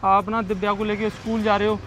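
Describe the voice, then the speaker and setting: Man as high-voiced, pushy young boyfriend. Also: completely flat delivery, fast talker, fairly bright tone, slight background noise. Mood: confessing. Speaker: actor in a moral-story video. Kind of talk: amateur melodramatic skit acting.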